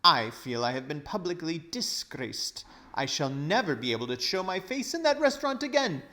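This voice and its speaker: A man reading a storybook, voicing a pompous, cowardly older gentleman who fusses wordily in theatrical outrage. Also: on the high side, sing-song, normal speed, voice pushed up. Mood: indignant, aggrieved. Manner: fussy, wordy, theatrical outrage. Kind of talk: storybook character voice.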